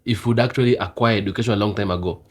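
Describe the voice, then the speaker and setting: A man talking earnestly in a casual debate, halting and restarting as he thinks. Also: lowish voice, fairly even intonation. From Kenya.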